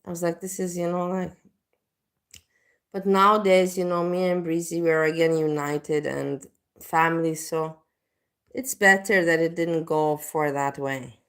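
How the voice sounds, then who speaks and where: A woman from Indonesia chats loosely on a livestream, circling back through fillers as she talks. Her voice sits a touch low for a woman. She hosts in a relaxed, rambling way. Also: unhurried. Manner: rambling, leans on "you know, like". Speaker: livestream host. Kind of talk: casual livestream chat.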